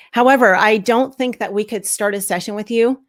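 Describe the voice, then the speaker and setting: A woman hosting a live online event, upbeat and effusive, her voice slightly hoarse. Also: fairly high pitch. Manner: upbeat, effusive welcomes, laughs often. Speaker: host.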